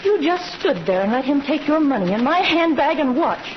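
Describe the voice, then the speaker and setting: An actress playing an earnest, spirited young schoolmarm in an old-time radio Western, with a proper, expressive way of speaking. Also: female voice, sits high, moderate pace. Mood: indignant. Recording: noisy, muffled old radio recording.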